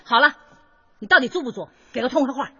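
Shrill, brusque landlady. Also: expressive, fast talker, bright, forceful tone, husky, muffled recording, exaggerated voice. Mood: impatient, scornful. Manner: brusque, scornful, pushy. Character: brusque, impatient female landlady, sneering and pushy. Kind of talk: radio drama acting.

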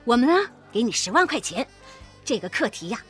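A woman's voice: fairly high pitch, sing-song, fairly quick, a bit bright, faint music behind. An actress playing a wheedling, snobbish, scheming businesswoman with theatrical exclamations in a Chinese radio drama. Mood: coaxing. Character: brisk, coaxing, snobbish businesswoman and scheming partner.

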